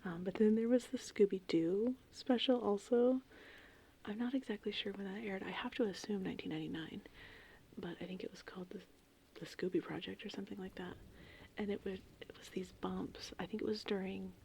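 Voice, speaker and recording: A woman whispering in a casual, meandering, unhurried ASMR ramble, with a breathy, creaky voice. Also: medium pitch, slight background noise. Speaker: ASMR host. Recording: steady rain and thunderstorm ambience. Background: gentle rain and thunder.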